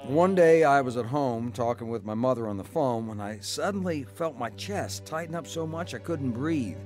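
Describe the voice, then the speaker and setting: An actor playing a stubborn, troubled husband in a radio drama, plain-spoken and earnest. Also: male voice, medium pitch, lively intonation, normal speed, music in the background, lightly acted. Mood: earnest recounting. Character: stubborn, troubled husband recounting his conversion. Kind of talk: radio drama narration and dialogue.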